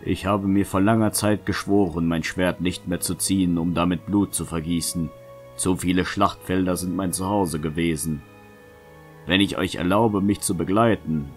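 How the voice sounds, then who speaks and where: Man acting a very deep-voiced, world-weary knight. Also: close to an everyday voice. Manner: grave, lecturing. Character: world-weary male knight, stern reluctant protector. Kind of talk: fantasy audio drama acting.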